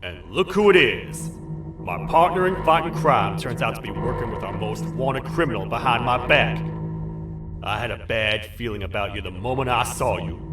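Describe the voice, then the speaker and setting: A stern, betrayed game sheriff, low-voiced and swinging widely in pitch. He is suspicious and vengeful, heard in a roomy space under loud music. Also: far from the mic. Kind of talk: video game voice acting.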